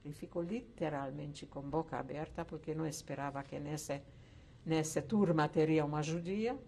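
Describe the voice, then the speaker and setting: Older woman, low voice, gravelly, hesitant storytelling, faint hiss. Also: mellow. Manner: slow reflective storytelling with hesitant fillers. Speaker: Holocaust survivor interviewee. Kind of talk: oral history interview.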